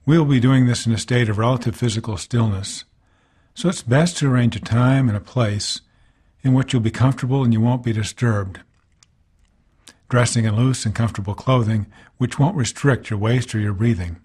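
A man guiding a body scan meditation as a teacher, speaking calmly in gentle, unhurried phrases with pauses between them. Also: medium pitch, husky.

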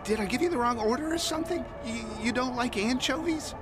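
An actor playing a puzzled, innocent-seeming young pizza delivery guy in an animated game. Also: very high-pitched, brisk. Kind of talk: animated game cutscene acting. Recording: music behind the voice.

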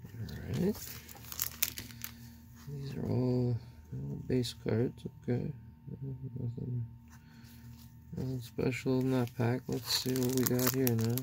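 A man with a gravelly, fry-edged voice talks slowly while opening trading card packs, reading each player name aloud. His tone is unhurried and conversational. A faint hum sits behind him in an indoor room. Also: medium pitch, little pitch movement. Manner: unhurried, reads out player names one by one. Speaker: card-opening channel host. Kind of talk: casual pack-opening commentary. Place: indoors at a table, hands on camera only.

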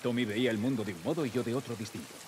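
A man's voice: mid-range voice, racing, noisy background, close to an everyday voice. A man playing a terse, guarded middle-aged survivor in a video game, with a Castilian Spanish accent. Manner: terse, gruff. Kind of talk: naturalistic video game acting.

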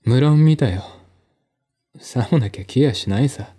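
A man playing a calm, matter-of-fact male friend in a mystery radio drama. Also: medium pitch, a little slow, husky. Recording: music behind the voice.

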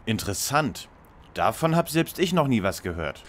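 A man's voice: medium pitch, big pitch swings, normal speed, close to an everyday voice. A man playing a formal, know-it-all amateur detective in a parody audio play, speaking precisely and politely. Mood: pompous, formal. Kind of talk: parody audio drama acting.

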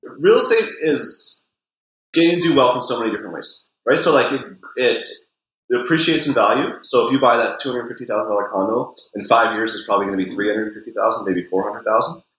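A realtor, a man, giving casual advice in a relaxed, filler-heavy way from his home office over a video call. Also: medium pitch, brisk. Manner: relaxed, repeats filler words. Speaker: realtor, podcast guest. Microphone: laptop mic on video call. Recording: roomy video call audio.

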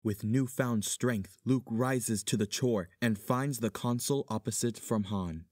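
An actor playing a solemn stage chorus, narrating a battle in dramatic verse for an audio drama. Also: male voice, mid-range voice, unhurried, darkish tone, dry recording, clean studio sound, natural voice. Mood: solemn, stirring.

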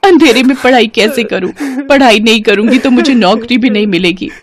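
A woman's voice: a little high, a little fast, close to an everyday voice. Female narrator as humble, determined young orphan heroine for a cartoon fable, earnest and pleading. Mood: worried. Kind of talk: animated moral story character acting.

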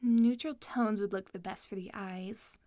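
A woman's voice: medium pitch, steady tone, unhurried, dark tone, no room sound, clean studio sound, natural voice. A woman playing a haughty, theatrical stylist in a fantasy audio drama, dramatic and fussy. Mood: bossy, fussy.

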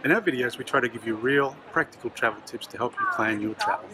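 A man's voice: medium pitch, fast, over a noisy crowd, rough recording. A middle-aged Australian man hosting a travel vlog, casually giving practical tips.

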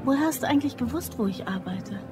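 A woman's voice: high voice, expressive, fast, over music. An actress playing a guarded, teasing young office woman in a German crime radio play. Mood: matter-of-fact, coy.

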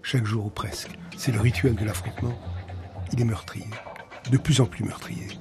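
An older man hosting and narrating a documentary in a grave, measured way. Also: medium pitch, steady tone. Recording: music under the narration.

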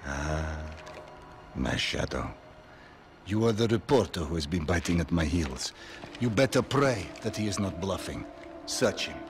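An actor playing a ruthless middle-aged warlord in a video game, cold, volatile and contemptuous, speaking in a deliberate, menacing way. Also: male voice, sits low, big pitch swings, over music, heavily acted. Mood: cold menace. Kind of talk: video game cutscene acting.

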